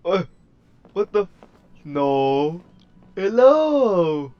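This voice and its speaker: A man's voice: sits high, big pitch swings, drawn-out words, dark tone, dull audio. A man streaming a game with casual, crude, jokey trash talk. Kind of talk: casual gameplay commentary.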